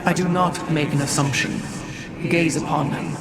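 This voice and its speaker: A woman's voice: low voice, fairly even intonation, moderate pace, a loud crowd, natural voice. A woman playing a haughty, stern alien priestess in a video game, speaking formally and with disdain. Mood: disdainful. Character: haughty, stern alien priestess, female, ancient order's keeper. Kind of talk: dramatic video game acting.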